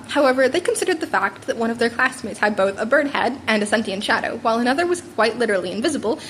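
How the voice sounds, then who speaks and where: A woman's voice: a little high, a little hiss or hum. A woman reading fan fiction aloud in a wry, informal way, often stumbling and repeating words. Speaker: podfic reader narrating fan fiction. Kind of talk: scripted narration read aloud.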